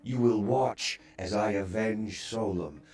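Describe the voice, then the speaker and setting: A man voicing a menacing, ancient male robot villain in a video game cutscene, speaking with a slow, gravelly rumble. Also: darkish tone. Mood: vengeful. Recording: game cutscene with music behind.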